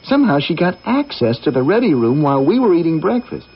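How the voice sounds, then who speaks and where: An actor playing a skeptical younger astronaut copilot in a 1980s radio drama, with a blunt, incredulous, protesting delivery. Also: male voice, fairly high pitch, big pitch swings, fast, husky, heavily acted. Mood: worried, insistent. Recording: dull vintage radio recording with music.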